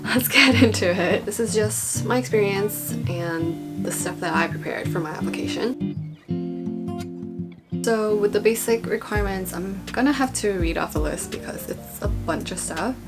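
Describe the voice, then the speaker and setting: A young woman talking casually and informally as a vlogger, in a chatty, rambling explainer style. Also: medium pitch. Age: young adult. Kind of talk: casual informational vlog talk. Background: background guitar music.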